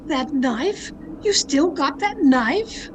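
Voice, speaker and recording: An actress playing a jealous, murderous mystery novelist in a radio drama, with deliberate melodramatic delivery over a home call recording. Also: female voice, high-pitched, animated, noisy background. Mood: tense, accusing. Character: jealous, murderous woman mystery novelist confessing her crimes. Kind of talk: dramatic first-person radio mystery narration. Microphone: video call.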